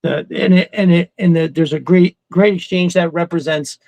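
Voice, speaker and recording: A middle-aged man co-hosting a casual fan chat, talking in an excitable, rambling, profanity-laced way. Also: high voice, normal speed, vocal fry, no room sound, lo-fi sound. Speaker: podcast co-host.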